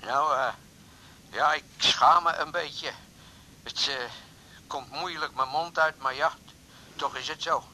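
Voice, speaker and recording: Sheepish suitor, bright high voice. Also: male voice, fairly even intonation, exaggerated voice, rough recording. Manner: hesitant, halting, pleading. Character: impulsive, sheepish older suitor calling on the phone. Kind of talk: 1980s Dutch radio drama acting.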